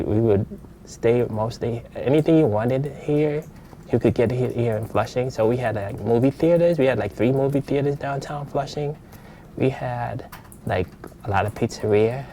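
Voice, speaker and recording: A man's voice: mid-range voice, a little mellow, a little hiss or hum. A man in his fifties from Jamaica, reminiscing casually in an interview as a long-time resident. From Jamaica, moved to New York at sixteen. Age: 50s.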